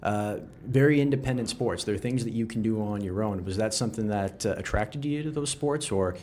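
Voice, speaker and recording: A man's voice: lowish voice, fairly quick, darkish tone, slight background noise. A man hosting an interview podcast, asking friendly, chatty questions with casual fillers. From Canada. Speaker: podcast host. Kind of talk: conversational interview.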